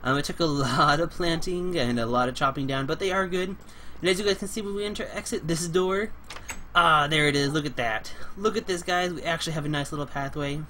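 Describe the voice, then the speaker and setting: A young man hosting a casual game let's play, playful and chatty, getting over a cold and clearing his throat now and then. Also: fairly high pitch, fairly quick, pushed and bright, slight background noise. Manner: playful, chatty, talks to animals and co-player in a cutesy way. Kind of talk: casual gameplay commentary.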